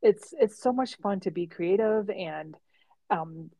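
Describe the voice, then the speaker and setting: A woman's voice: medium pitch, slow pace, creaky, dark tone, no echo. A woman co-hosting a podcast in casual chat, chatty and laughing often. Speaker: podcast co-host.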